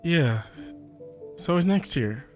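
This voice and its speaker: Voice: medium pitch, animated, takes it slowly. A livestreamer reacting casually to a game, with hesitant, wry asides. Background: game music.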